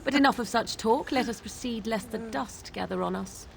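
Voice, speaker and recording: Haughty, high-voiced fantasy witch. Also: creaky. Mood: brisk dismissal. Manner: archaic, formal, measured phrasing. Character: haughty, sardonic witch woman, aloof and wary. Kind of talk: fantasy video game voice acting.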